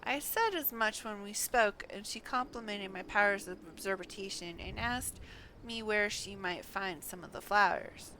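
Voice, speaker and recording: A woman's voice: fairly high pitch, a little hiss or hum, heavily acted, rough recording. A woman voicing a courtly, observant game character with formal, flowery phrasing. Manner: formal, flowery, gently boastful. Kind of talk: game dialogue read aloud in character.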